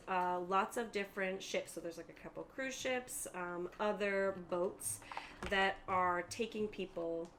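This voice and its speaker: A woman's voice: fairly low pitch, fairly bright tone, slight background noise. A woman narrating a tabletop roleplaying game as game master, explaining things in a conversational way.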